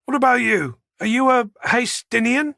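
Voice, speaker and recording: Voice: mid-range voice, animated, normal speed, vocal fry, sharp tone, dry recording, studio quality, full character voice. An audiobook narrator playing a modest, slightly awkward policeman with an understated, apologetic manner. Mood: casual.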